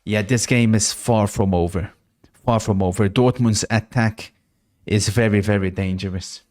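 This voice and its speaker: A man's voice: on the low side, fairly even intonation, measured pace, a little mellow. A man hosting a live football watch-along stream, chatting casually, reacting to the match and reading out viewer messages.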